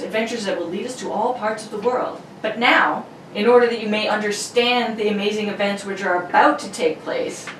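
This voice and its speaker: Woman host, playful script reader, slightly high voice, roomy sound, faint noise. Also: fairly bright tone, far from the mic. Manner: playful, giggly asides. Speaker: host and announcer. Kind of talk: jokey introduction and old-radio script reading.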